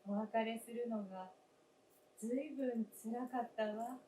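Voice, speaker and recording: A woman playing a snow doll of a gentle, wistful young late wife in a staged radio drama, speaking softly and politely. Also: a little high, fairly even intonation, lightly acted. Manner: soft, polite, unhurried, old-fashioned feminine speech. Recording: roomy stage, distant mic, faint noise.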